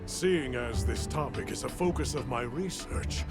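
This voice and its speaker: A man playing a composed, scholarly expedition leader in a video game, speaking in a formal, measured and authoritative manner. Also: medium pitch, over loud music, natural voice. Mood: formal, grave. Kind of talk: video game cutscene acting.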